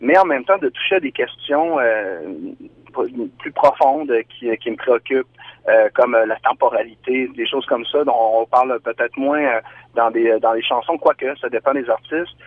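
A man from Quebec with a Québécois accent, a singer-songwriter guest giving thoughtful, measured answers in an interview. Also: mid-range voice. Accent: Québécois French. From Quebec, Canada. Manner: thoughtful, measured, explaining ideas at length. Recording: tinny dry phone line.

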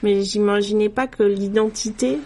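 A middle-aged woman from France, a radio guest talking in a relaxed, thoughtful way with many hesitations and restarts. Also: mid-range voice, moderate pace. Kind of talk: casual conversational interview.

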